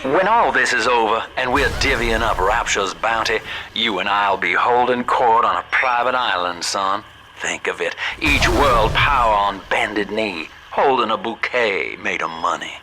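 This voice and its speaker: Folksy southern schemer for a game, lowish drawly voice over a noisy radio, conspiratorial. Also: male voice, animated, a little slow. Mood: scheming, dreamy. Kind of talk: video game voice acting.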